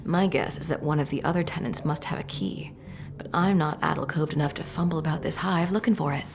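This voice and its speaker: Woman acting a creaky, low-voiced wary thief. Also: brisk, slight background noise. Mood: wary, dry. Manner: hushed, gruff, sarcastic, grumbling. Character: wary, sardonic young female thief in hiding. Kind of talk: fantasy audio drama acting.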